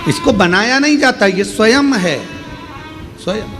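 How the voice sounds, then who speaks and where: A man's voice: sits high, expressive, unhurried, over music. Man preaching with rising emphasis. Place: open-air religious gathering.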